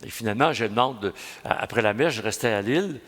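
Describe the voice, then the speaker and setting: A man's voice: lowish voice, expressive, fast, a bit bright, long reverb tail. A man in his sixties with a Quebec French accent, preaching a homily at mass in a folksy, storytelling way, full of restarts and asides. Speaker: priest.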